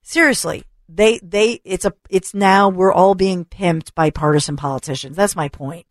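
A talk radio host, a woman, delivering an opinionated rant full of rhetorical questions and repetition, her voice going hoarse. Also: fairly low pitch, moderate pace, dry recording, clean studio sound. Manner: emphatic, rhetorical questions, repeats for stress. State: losing her voice. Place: radio studio. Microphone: studio microphone.

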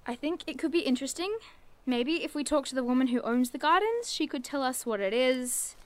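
A woman's voice: high voice, lively intonation, brisk. A woman playing a curious, bookish cryptid investigator in an audio drama, earnest and wordy with dry playfulness. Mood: playfully insistent. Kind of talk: audio drama acting, naturalistic dialogue.

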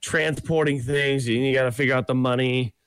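A young man co-hosting a morning radio show, trading wisecracks and deadpan asides in casual banter. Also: mid-range voice, fairly quick, smooth, no echo.

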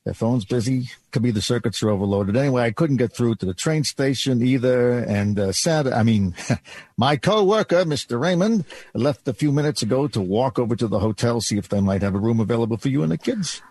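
An actor playing a helpful, scheming department store employee in an old-time radio drama, with folksy, persuasive patter. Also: male voice, medium pitch, animated, fairly quick, darkish tone, husky, no echo.

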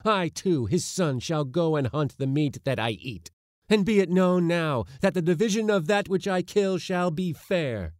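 A man's voice: mid-range voice, darkish tone, no room sound, clean studio sound, pitched above the performer's own voice, natural voice. A man voicing a proud, defiant boy who declaims formally before a council. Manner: declaiming, formal old-fashioned speech.

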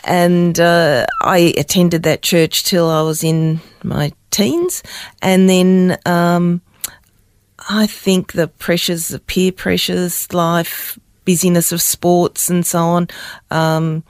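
A woman's voice: lowish voice, level tone, takes it slowly, clear, resonant tone, dry recording, studio quality. Older Australian woman, hesitant speech. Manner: hesitant, with frequent ums and uhs. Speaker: interview guest. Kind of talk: personal testimony in conversation.

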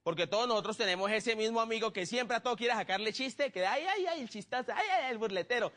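A man with a Colombian accent telling comic stories in a playful, animated way, acting out bits of dialogue as he goes. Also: high-pitched, fast. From Neiva, Colombia. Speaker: comedian and guest. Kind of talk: comic storytelling, stand-up and banter.

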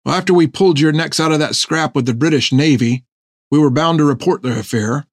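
Man acting gruff sailor sergeant, earnest, folksy period seafaring speech, storybook dialogue, dry recording. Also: fairly high pitch, brisk, no echo, voice pushed up. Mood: earnest, persuasive. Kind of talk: audiobook character dialogue.

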